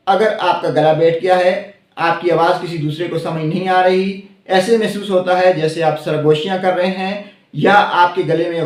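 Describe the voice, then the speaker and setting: A man's voice: high voice, reverberant. A man hosting a health channel, explaining a herbal syrup to viewers and repeating the main points. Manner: repeats key points, addresses viewers directly. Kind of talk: scripted product explainer.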